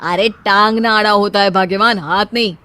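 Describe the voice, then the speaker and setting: An actor playing a wisecracking, exasperated young husband in a family comedy story, with a teasing, sarcastic manner. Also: male voice, high-pitched, fairly even intonation, moderate pace, sharp tone, clear, resonant tone.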